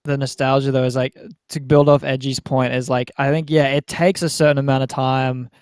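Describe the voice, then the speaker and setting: A man's voice: medium pitch, level tone, a little fast, a little mellow, clear, resonant tone, no echo, studio quality. A young man, thinking aloud hesitantly in a casual group podcast chat. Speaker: band member and podcast guest.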